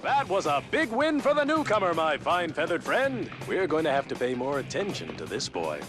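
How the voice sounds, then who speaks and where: A man's voice: on the high side, big pitch swings, moderate pace, a bit bright. A man voicing a pompous veteran sports commentator in a video game, showy and over the top, stretching out his words. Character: pompous, bombastic veteran male racing show commentator. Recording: music under the voice. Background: music.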